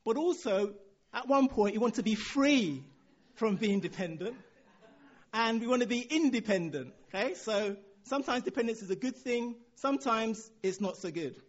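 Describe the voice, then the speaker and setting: A man from Nigeria with a Nigerian accent, preaching a sermon in a relaxed way, chuckling now and then. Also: very high-pitched, normal speed, highs cut off.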